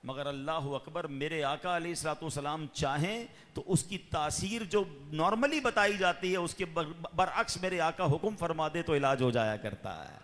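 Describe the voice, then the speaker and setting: A man preaching a sermon, fervent, with raised peaks and slow drawn-out chanting. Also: fairly high pitch, a bit bright. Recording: long hall reverb.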